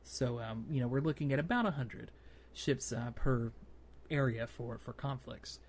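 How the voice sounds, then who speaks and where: A man's voice: mid-range voice, moderate pace. A man hosting a gaming podcast, explaining things in a casual, conversational way, with faint noise behind him. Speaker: podcast host.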